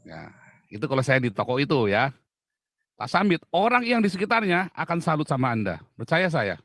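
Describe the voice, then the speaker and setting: A man's voice: on the high side, huge pitch swings, brisk, a bit bright, dry recording, studio quality. A middle-aged man from Indonesia, a pastor hosting an outspoken talk, speaking bluntly and emphatically and laughing often. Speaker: pastor and host.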